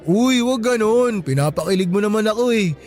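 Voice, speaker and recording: A man's voice: sits high, expressive, moderate pace, darkish tone. An actor playing a devoted, affectionate man in a radio drama, with a sweet, teasing way of talking. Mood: tender, teasing.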